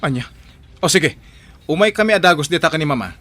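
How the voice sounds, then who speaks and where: An actor playing a concerned grown son and elder brother in a radio drama. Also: male voice, fairly high pitch, expressive, fast talker, fairly bright tone, no room sound. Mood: concerned, hurried. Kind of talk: radio drama acting in Ilocano.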